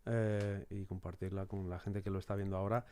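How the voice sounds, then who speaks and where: A man hosting a casual interview, halting and searching for words with many repeats. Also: low-pitched, little pitch movement, dark tone, smooth. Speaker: interview host.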